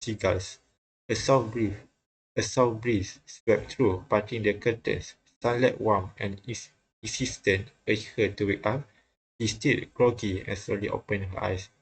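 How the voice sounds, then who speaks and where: Low, creaky male visual novel reader. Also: fairly even intonation, measured pace, low-quality recording. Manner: often stumbles and repeats phrases. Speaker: host reading a visual novel aloud. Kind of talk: halting read-aloud narration of game text.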